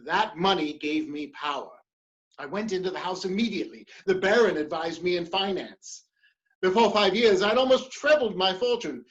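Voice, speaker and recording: A woman's voice: fairly low pitch, expressive, normal speed, dull audio, close to an everyday voice. A woman playing a proud, ambitious male politician in a dramatic play reading, speaking with theatrical emphasis and defiance. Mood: defiant, proud.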